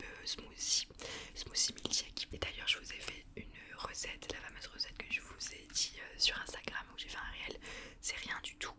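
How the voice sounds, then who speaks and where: A young woman whispering a casual grocery haul for ASMR, chatty and self-mocking with plenty of hesitations. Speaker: ASMR vlogger.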